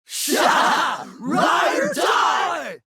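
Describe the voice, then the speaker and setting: A rowdy daredevil game character, shouting in a high, rough voice. The delivery is bombastic and drawn out, with other voices crowding in. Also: female voice, sing-song, extremely slow, no room sound, clean studio sound, some chatter behind, exaggerated voice. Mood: rowdy hype.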